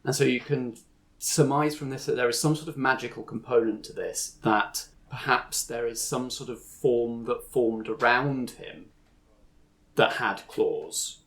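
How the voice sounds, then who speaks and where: A man's voice: mid-range voice, unhurried, fairly bright tone. A man acting as game master, narrating and explaining a tabletop game as he improvises it, thinking aloud.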